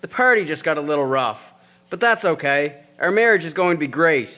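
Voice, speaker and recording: An actor playing an earnest young bridegroom in a historical audio drama. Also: male voice, fairly high pitch, lively intonation, brisk, bright, forceful tone. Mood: reassuring. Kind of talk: historical radio drama acting.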